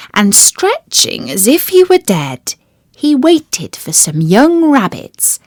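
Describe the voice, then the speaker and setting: A woman reading a children's fairy tale as a storyteller, with deliberate, expressive phrasing. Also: mid-range voice, dry recording, studio quality. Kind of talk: scripted children's storybook narration.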